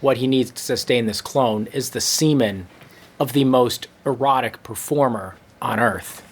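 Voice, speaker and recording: A man reading a comic story to listeners with dramatic, drawn-out noir-style narration. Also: mid-range voice, slight background noise. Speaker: comedy storyteller.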